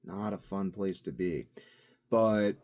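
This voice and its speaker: A young man talking casually to camera, wandering from thought to thought and swinging between loud bursts and mumbled asides. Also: low voice, slow pace. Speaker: vlogger. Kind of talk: casual rambling monologue.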